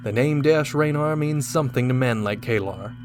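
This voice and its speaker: A man's voice: medium pitch, normal speed, over music, natural voice. A man playing a dashing, self-assured starship captain in a radio drama, speaking with confident command. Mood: proud, assured.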